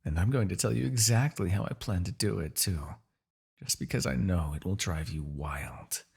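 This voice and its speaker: A man playing a proud, vengeful demon king in a dramatic fantasy audio roleplay, with a husky, gravelly voice and a theatrical, gloating manner. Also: deep voice, moderate pace, heavily acted. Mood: resigned, calculating. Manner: theatrical, gloating, with sighs and dark chuckles.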